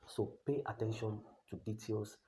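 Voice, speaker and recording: A man's voice: fairly low pitch, fairly even intonation, moderate pace, warm tone, slight background noise, low-quality recording. A Nigerian man with a Nigerian accent giving a blunt, repetitive tutorial as an online career coach. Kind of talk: talking-head tutorial.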